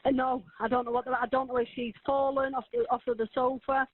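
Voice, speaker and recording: A woman making an emergency phone call, halting and hesitant with many fillers. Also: high-pitched, little pitch movement, husky. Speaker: emergency caller. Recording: tinny phone line.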